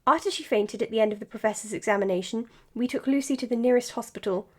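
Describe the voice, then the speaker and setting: A woman's voice: fairly high pitch, fast talker, lightly acted. A woman playing an earnest, slightly awkward doctor in an audio drama, formal and a little self-conscious. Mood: composed, formal. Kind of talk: audio drama acting as a podcast monologue.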